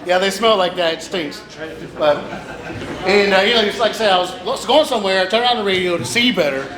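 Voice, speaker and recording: A man's voice: high-pitched, fairly quick, noisy background. A man in his thirties doing rambling, self-deprecating stand-up, acting out bits of dialogue in a casual way. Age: 30s. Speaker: stand-up comedian. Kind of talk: stand-up comedy, casual joke telling. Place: small live comedy venue, through speakers. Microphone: stage microphone with PA. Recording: echoey live club with chattering crowd.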